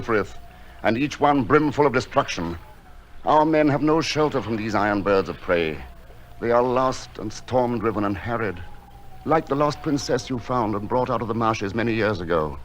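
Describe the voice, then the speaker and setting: A man playing a gentle, noble, resolute recluse in a radio play, speaking with solemn, theatrical earnestness. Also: mid-range voice, slight background noise, close to an everyday voice, low-quality recording. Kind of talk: dramatic radio play acting.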